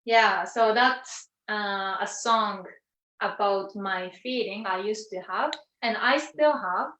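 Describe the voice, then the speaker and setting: A woman with a Japanese accent, a Japanese native speaker, chatting softly as a singer-songwriter guest in an online interview. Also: mid-range voice. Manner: slow, soft-spoken, laughs easily. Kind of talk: casual interview chat. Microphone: video call. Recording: echoey room, distant mic.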